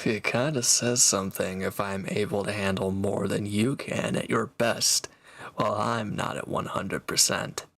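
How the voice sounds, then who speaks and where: A man's voice: lowish voice, fairly even intonation, measured pace, gravelly, a bit bright, heavily acted. A man playing a sardonic, teasing reformed villain in an intimate one-sided roleplay audio drama, with dry, casual delivery. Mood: smug, teasing.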